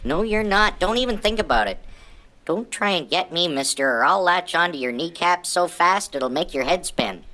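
A woman's voice: mid-range voice, very animated, fairly quick, fairly bright tone. A woman voicing a cheeky, eager pet pug who rambles as it gives a tour to its owners, in an improvised pet voice-over. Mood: mock threatening. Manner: rambling, eager, addresses owners as Mom and Dad.

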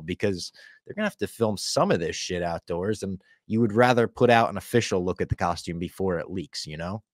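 Low-voiced man chatting on a podcast. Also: no room sound, clean studio sound. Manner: relaxed, swearing, storytelling. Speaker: podcast host. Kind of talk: casual chat. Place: home room with window. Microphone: headphones, video call.

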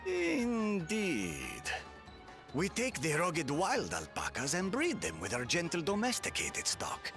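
An actor playing a proud, eccentric merchant leader in a video game, speaking in a pompous, theatrical and wordy manner. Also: male voice, fairly high pitch, huge pitch swings, slow, over music, heavily acted. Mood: lecturing. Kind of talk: video game cutscene acting.

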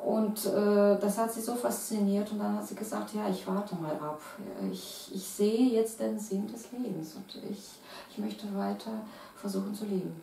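Middle-aged poet, Russian accent, hesitant delivery, roomy sound from afar. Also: female voice, mid-range voice, fairly even intonation, normal speed, gravelly, mellow, a little hiss or hum. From Kazakhstan. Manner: hesitant, many fillers and restarts. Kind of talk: interview answers. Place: living room with piano, indoors. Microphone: far camera. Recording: echoey room from a distance.

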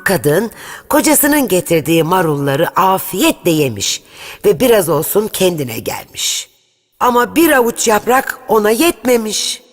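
A woman's voice: medium pitch, unhurried. A woman reading a fairy tale as a narrator, in a lilting storytelling manner. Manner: lilting storytelling, lively intonation. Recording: narration over clear background music.

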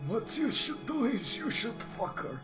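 A man's voice: very high voice, sing-song, measured pace, exaggerated voice. A man voicing a crude, erratic schoolteacher in an improvised anime parody dub, jokey in delivery. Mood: crude, excitable. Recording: dull lo-fi audio with music behind.

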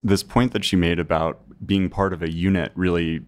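A man's voice: low voice, fairly even intonation, moderate pace, soft background music. A man hosting a podcast interview, speaking thoughtfully with short prompts and backchannels. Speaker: podcast host. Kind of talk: interview, casual chat.

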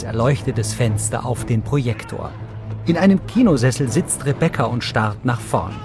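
Male narrator over music. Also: medium pitch. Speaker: audio description narrator. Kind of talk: scripted narration, audio description of a TV series.

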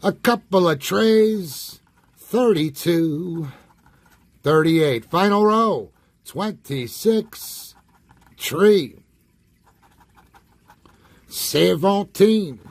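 A man's voice: high voice, animated, no echo. A man with a scratchy voice doing profane, wry commentary while scratching lottery tickets at a table indoors. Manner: profane, wry, reads numbers slowly with nicknames.

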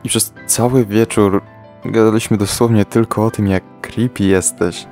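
A man playing a deadpan, fed-up teen fighter. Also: fairly low pitch, moderate pace, lightly acted. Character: weary teenage boy fighter, fed up and sarcastic. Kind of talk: fan dub comedy parody. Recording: soft music underneath.

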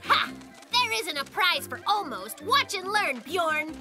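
A performer voicing a boastful, excitable young boy bear cub in a children's cartoon. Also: extremely high pitch, unhurried, heavily acted. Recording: music behind the voice. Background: children's cartoon music.

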